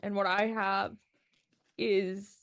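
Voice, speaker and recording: A woman making a personal video statement, talking hesitantly with many pauses and ums. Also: medium pitch, little pitch movement, a bit bright, no echo.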